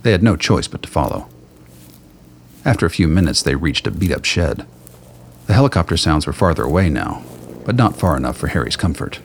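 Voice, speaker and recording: A man's voice: a bass voice, big pitch swings, brisk, creaky, long pauses. A man reading scripted narration as the storyteller of a radio play. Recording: faint noise and ambience.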